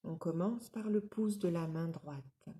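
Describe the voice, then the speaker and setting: A woman leading a guided yoga nidra relaxation as an instructor, giving calm, soothing, measured instructions. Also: on the low side.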